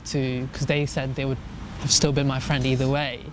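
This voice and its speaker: A young man from India, speaking in an earnest, reflective way with frequent restarts. His voice sits at a middle pitch for a man. The recording is outdoors, with clear street and traffic noise running behind him. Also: flat delivery, normal speed. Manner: earnest, thoughtful, many restarts. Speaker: musician guest. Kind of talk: casual reflective interview.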